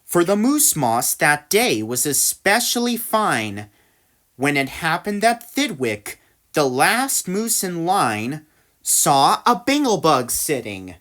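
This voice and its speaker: Lady reading a rhyming kids book out loud, low voice, slow, lots of expression. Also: big pitch swings. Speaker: storybook reader. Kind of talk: expressive rhyming storybook narration.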